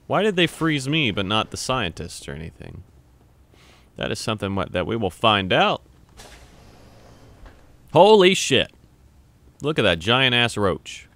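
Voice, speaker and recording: A young man playing a video game, chatting casually, swearing and yelping when startled, and reading on-screen text aloud. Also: fairly low pitch. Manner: loud startled yelps and swearing. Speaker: gamer playing on camera. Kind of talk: casual let's-play commentary.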